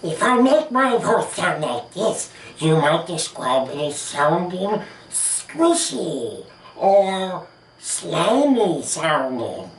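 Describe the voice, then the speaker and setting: A man putting on exaggerated squishy and sharp voices as a demonstration for a lesson. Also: sits high, big pitch swings, extremely slow, pitched above the performer's own voice, heavily acted. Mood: playful. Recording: roomy, distant mic.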